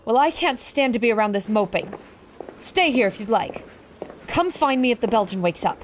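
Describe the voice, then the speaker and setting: A high, very animated voice: an actress as a plucky woman war correspondent in an audio adventure. She speaks earnestly and with determination, as if reporting to her listeners, over faint background noise. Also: normal speed, natural voice, low-quality recording. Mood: determined, urgent. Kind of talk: audio adventure drama acting.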